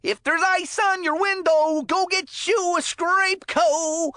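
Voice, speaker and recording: A woman voicing a folksy, excitable, goofy hockey commentator in a cartoon kids' sports game, with hammy announcer patter. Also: extremely high pitch, slow pace, no echo, clean studio sound, full character voice. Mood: salesman-like.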